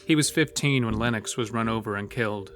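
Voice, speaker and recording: A man's voice: fairly low pitch, brisk. A man narrating a scripted horror story as the storyteller of an audio drama. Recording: music bed under the voice. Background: loud music.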